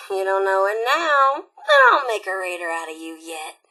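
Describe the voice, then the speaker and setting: Game role: bored, bloodthirsty lady robot bandit, bright and forceful, very lively intonation, sardonic and flippant. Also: mid-range voice, unhurried, exaggerated voice. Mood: wistful, scheming. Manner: sardonic, flippant, mock-sweet menace. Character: psychotic female robot raider, bored, gleefully violent, sarcastic. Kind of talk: video game character dialogue.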